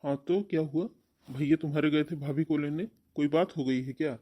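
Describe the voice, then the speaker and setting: A man playing a gentle, worried middle-aged father in a cartoon family melodrama, soothing and questioning. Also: a little high, fairly even intonation, a little fast, highs cut off, lightly acted. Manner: concerned, soothing. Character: concerned, gentle middle-aged father comforting his child.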